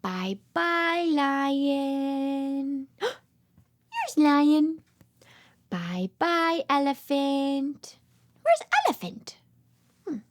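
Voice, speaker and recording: A woman hosts a toddler read-aloud in a high voice that swoops dramatically. She speaks extremely slowly, repeating simple phrases and questions with exaggerated, child-directed expression. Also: very animated.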